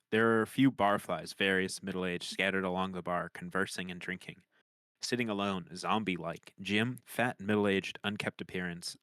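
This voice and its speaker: Male script reader, deep register, dry recording, narrating scene headings and action. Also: fairly bright tone, no echo. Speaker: podcaster reading stage directions. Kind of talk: scripted narration at a table read.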